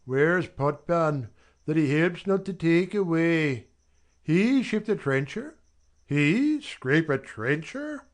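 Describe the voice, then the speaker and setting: An actor playing a bossy, harried servant in theatrical Shakespearean style, calling out orders emphatically. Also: male voice, on the high side, animated, slow.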